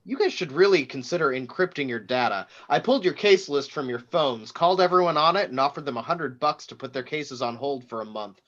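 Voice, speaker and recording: An actor playing a brash, demanding, foul-mouthed tech tycoon, pushy and sarcastic, in a screenplay table read. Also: male voice, on the high side, expressive, fairly quick, hardly any pauses, fairly bright tone, muffled recording. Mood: smug.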